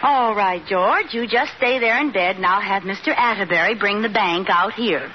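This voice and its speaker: An actress playing a teasing, sarcastic but doting wife in an old-time radio comedy. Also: female voice, medium pitch, moderate pace, natural voice. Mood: coaxing, sarcastic. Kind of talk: 1940s-50s radio comedy acting. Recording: muffled, very noisy vintage radio recording.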